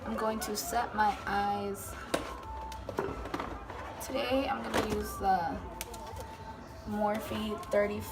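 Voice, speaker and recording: A young American woman with a voice hoarse from being sick, chatting through a casual makeup tutorial in a low-energy, hesitant way. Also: little pitch movement, slow pace, pauses often, loud music behind the voice. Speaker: makeup vlogger. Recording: very noisy room with background chatter. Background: people and children talking.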